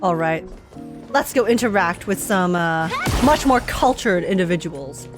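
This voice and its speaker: A woman's voice: fairly low pitch, animated, measured pace, music in the background. A young woman streaming a game, joking sarcastically and laughing often. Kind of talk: casual reaction commentary.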